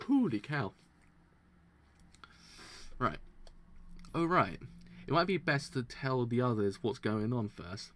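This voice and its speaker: A man streaming a live read-through of comics, stumbling over words, restarting lines, and breaking into excited laughter, his throat sounding strained. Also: mid-range voice, takes it slowly, pauses often. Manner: excitable, stumbles and restarts while reading aloud, bursts into laughter. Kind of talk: live reading and reaction.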